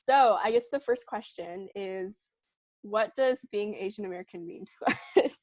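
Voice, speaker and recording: A woman's voice: medium pitch, moderate pace, darkish tone, no echo, muffled recording. A young woman from Southern California co-hosting a podcast, talking casually with lots of fillers and laughter. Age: young adult. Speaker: podcast co-host. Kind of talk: casual chat.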